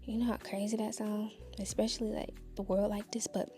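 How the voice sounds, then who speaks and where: A woman's voice: mid-range voice, flat delivery, fairly quick, mellow, soft background music. A young woman from Nashville, chattily telling viewers a personal story, confiding and calling out to them often. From Nashville, Tennessee. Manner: chatty, confiding, keeps saying y'all. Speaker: vlogger.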